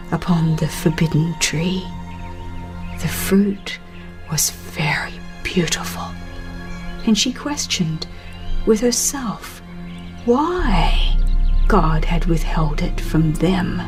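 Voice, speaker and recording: A woman narrates a religious audiobook in a solemn, dramatized style. Her delivery is slow and deliberate, with expressive phrasing. Light music plays behind her. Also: medium pitch.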